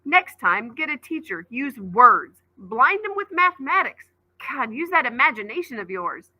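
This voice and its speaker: A woman voicing a concerned, gentle but exasperated father in a children's storybook, scolding mildly with wry fondness. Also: high voice, very animated, moderate pace, voice pushed up, full character voice, poor audio. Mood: firm, understanding.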